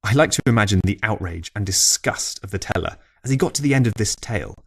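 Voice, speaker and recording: A man's voice: lowish voice, animated, fast talker, gravelly, slightly rough. A man reading scripted local history as an audiobook narrator, with a wry storytelling manner.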